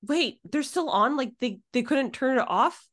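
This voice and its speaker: A woman's voice: high voice, lively intonation, moderate pace, fairly bright tone. A woman hosting a podcast in a casual, conversational interview style. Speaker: podcast host.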